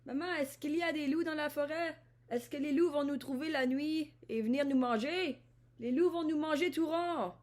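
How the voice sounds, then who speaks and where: A woman's voice: high voice, moderate pace, darkish tone, lightly acted. A woman voicing her younger self as a little girl, repeatedly and fearfully asking her mother about wolves. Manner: fearful, repetitive questioning.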